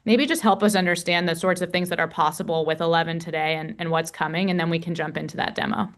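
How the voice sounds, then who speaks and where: A woman's voice: on the low side, fairly even intonation, fast. A woman hosting an interview on a video call, asking long, upbeat, winding questions. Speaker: host, venture investor. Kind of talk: interview host.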